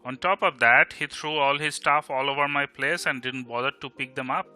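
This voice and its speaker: Male actor as young grumbler, Bengali Indian accent, very bright forceful voice, sarcastic teasing, audio drama, music underneath. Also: medium pitch, moderate pace. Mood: exasperated, sarcastic. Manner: sarcastic, exasperated. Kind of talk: amateur audio drama acting. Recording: music behind the voice.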